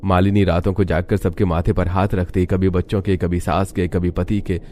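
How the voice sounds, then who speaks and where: A man's voice: low voice, flat delivery, normal speed, darkish tone, slight background noise. A man reading scripted narration as the narrator of a cartoon story.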